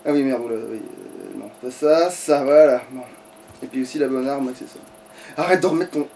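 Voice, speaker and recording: A man's voice: medium pitch, moderate pace, warm tone, slight background noise. A man giving casual, sweary, grumbling gaming commentary to a co-player. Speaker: player on a gaming let's play. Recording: distant mic, game music behind. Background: game music.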